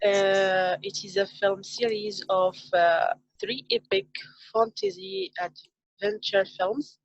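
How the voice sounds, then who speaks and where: A woman in her thirties from Morocco, a science teacher, retelling a film on a video call in halting English with many fillers. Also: medium pitch, animated, creaky. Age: 30s. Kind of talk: casual storytelling on a livestream. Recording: dull lo-fi call audio.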